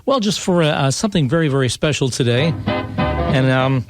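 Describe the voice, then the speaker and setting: A man's voice: medium pitch, expressive, soft background music. A man with an American accent hosting a live radio interview in an enthusiastic, chatty, stop-and-start way. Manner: enthusiastic, chatty, halting restarts. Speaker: radio host.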